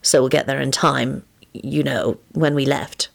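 A woman voicing a tormented young male wizard in love, speaking gravely and earnestly in a story. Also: very deep voice, fairly even intonation, unhurried, heavily acted. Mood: desolate. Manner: grave, earnest, self-reproaching.

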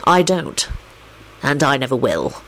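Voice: medium pitch, sing-song, takes it slowly, fairly bright tone, voice pushed down, full character voice, low-quality recording. A reader as an earnest, polite young man. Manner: courteous, earnest, slightly awkward.

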